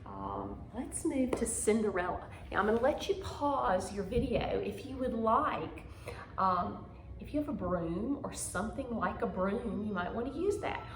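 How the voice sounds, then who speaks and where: A woman's voice: medium pitch, animated, a little slow, background noise. A woman giving an instructional dance lesson on video, explaining simply step by step and prompting pupils with encouragement. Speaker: dance teacher. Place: indoor room at home. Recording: very echoey room.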